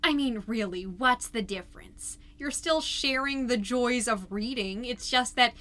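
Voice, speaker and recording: A woman's voice: on the high side, a little slow, fairly bright tone. A woman playing a chirpy, gushing suburban PTA mom who hosts a podcast, delivering a comedic monologue in a theatrical, folksy and emphatic way. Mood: gushing, excited. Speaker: podcast host.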